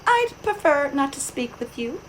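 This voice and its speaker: Female, playing a stubborn, haughty lady for radio play: high, lively, clipped and indignant. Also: normal speed. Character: indignant, prim, stubborn young lady motorist. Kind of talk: audio drama acting. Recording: noisy home-device recording.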